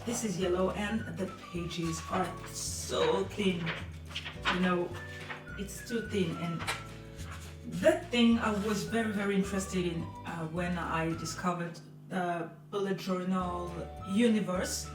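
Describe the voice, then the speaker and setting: Young woman, French accent, hesitant casual vlog chat, lower voice, echoey room. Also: steady tone, takes it slowly. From France. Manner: hesitant, many fillers, soft laughs. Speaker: vlogger. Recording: roomy, mic at a distance. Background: music behind.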